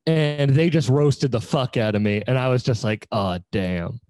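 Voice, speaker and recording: A man's voice: mid-range voice, normal speed, darkish tone, no room sound, studio quality. A man in his twenties on a casual podcast chat, rambling, self-mocking and laughing often. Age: 20s.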